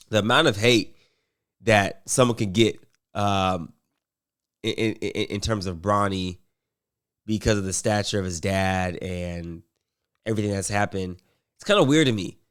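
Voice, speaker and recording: A man with a slightly low voice who is getting over a cold talks loosely about basketball. He rambles, stops and restarts, and fills the gaps with ums and uhs. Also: clean tone. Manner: relaxed, rambling, many fillers and restarts. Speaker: streamer commenting on basketball. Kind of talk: casual commentary.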